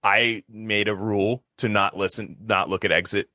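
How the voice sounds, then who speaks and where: A man's voice: on the low side, fairly even intonation, normal speed, sharp tone, no room sound, clean studio sound. A man chatting casually as a podcast host, rambling and retelling exchanges with quoted dialogue.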